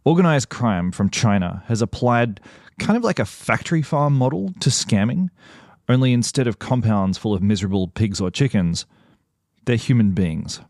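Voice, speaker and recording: A man hosting a podcast, giving an earnest, measured narration and interviewing a guest. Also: fairly low pitch, expressive, darkish tone, no room sound, studio quality. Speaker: podcast host.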